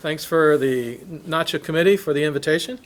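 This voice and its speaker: A man hosting a church workshop who introduces the speaker and then interprets him phrase by phrase, often restarting phrases. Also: fairly high pitch. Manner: halting, restarts phrases while translating. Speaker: host and interpreter.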